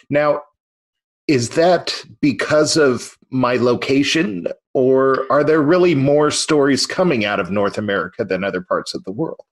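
A man's voice: mid-range voice, animated. A man hosting a podcast interview, chatting casually and readily agreeing with his guest. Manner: casual, agreeable, quick to affirm. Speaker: podcast host.

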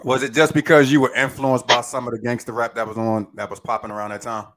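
A man's voice: medium pitch, little pitch movement, quick pace, a bit bright. A man hosting a casual podcast interview, teasing and laid-back. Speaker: podcast host.

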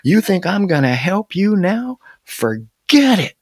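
A man's voice: on the low side, big pitch swings, gravelly, pushed and bright, breathy edge, no room sound, studio quality, heavily acted. A man voicing a big furry woodchuck in a story, scornful and accusing, nursing a grudge. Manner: scornful, accusing, drawn-out taunting.

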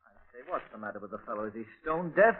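An actor playing a courteous, inquisitive gentleman writer in a scratchy 1930s radio drama, speaking politely and properly. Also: medium pitch, animated, racing, lightly acted. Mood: puzzled, polite. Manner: polite, well-spoken, curious. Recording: scratchy, tinny, noisy 1930s radio recording.

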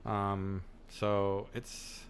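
A man with a fairly low voice hosts a casual podcast chat. He grew up speaking Albanian first, and he keeps nodding along with short affirmations. Faint hiss runs in the background. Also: flat delivery, very slow. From United States. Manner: easygoing, affirming listener with frequent backchannels. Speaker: podcast host. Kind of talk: casual conversation.

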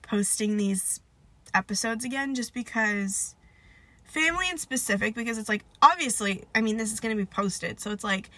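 A woman's voice: on the high side, moderate pace, sharp tone, dry recording. Stuffy-nosed young woman rambling on a podcast. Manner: rambling, filler-heavy, self-deprecating. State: stuffed-up nose, nasal. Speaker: podcast host. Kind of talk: casual solo podcast chat.

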